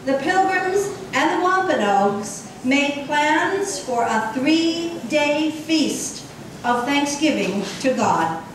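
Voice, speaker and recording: Female puppeteer-narrator: slow, deliberate scripted storytelling, recorded from a distance with faint noise. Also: high voice, animated. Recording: echoey hall, distant mic, faint noise.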